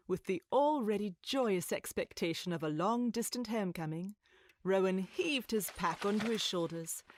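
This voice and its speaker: A woman narrating a scripted storybook tale in a measured, literary storytelling manner. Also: mid-range voice, a little hiss or hum.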